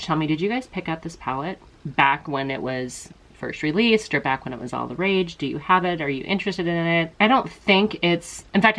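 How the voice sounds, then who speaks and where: A woman's voice: deep voice, fairly bright tone. A middle-aged woman, a little congested, chatting casually and rambling a bit as she walks through a makeup tutorial. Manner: chatty, rambling, self-deprecating. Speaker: beauty vlogger.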